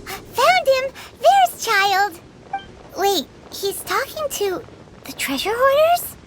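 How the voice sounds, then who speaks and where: Casting: a little cheerful fairy sidekick for a game, squeaky childlike voice, slow and lively in pitch. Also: female voice, heavy background noise, heavily acted. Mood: excited. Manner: speaks of herself in third person, chirpy. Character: tiny cheerful floating fairy companion, childlike girl. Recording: music behind the voice.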